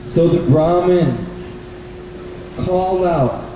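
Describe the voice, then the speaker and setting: A man telling a children's story live to an audience, in simple, deliberate phrases. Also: sits high. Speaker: puppet show storyteller and presenter. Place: indoor bookstore event. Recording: very echoey, noisy hall, distant microphone.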